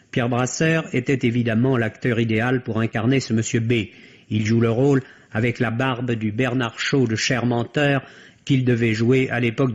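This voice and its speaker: A man's voice: medium pitch, darkish tone. A man giving an articulate, dryly ironic film review in a radio column. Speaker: film critic. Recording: dull vintage radio archive recording.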